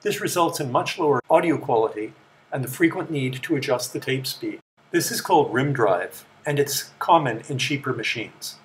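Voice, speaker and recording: A middle-aged man giving a measured, matter-of-fact scripted explanation as the presenter of a technical tour. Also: mid-range voice, lively intonation. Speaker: presenter of a vintage electronics tour.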